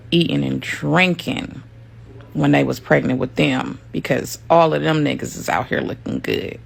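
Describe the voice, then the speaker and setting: A woman's voice: sits low, vocal fry, breathy edge. A woman talking casually and with amusement, laughing and stretching words for emphasis. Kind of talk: casual commentary clip.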